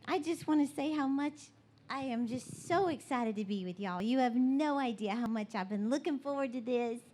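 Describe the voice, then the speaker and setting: A woman's voice: high-pitched, darkish tone, a little hiss or hum. A woman with a Southern US accent giving a bubbly, folksy, conversational motivational talk to a live church gathering. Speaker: guest motivational preacher. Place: large indoor church event.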